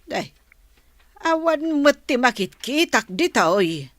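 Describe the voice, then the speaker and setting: A woman's voice: high-pitched, very animated, takes it slowly, no room sound, heavily acted. An actress with a Filipino accent playing a concerned, chatty mother asking questions in a radio drama. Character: concerned mother on a phone call.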